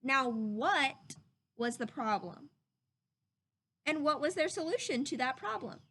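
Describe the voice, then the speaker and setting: A woman reading a story aloud to children like a teacher, with expressive, dramatic emphasis. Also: high voice, slow pace.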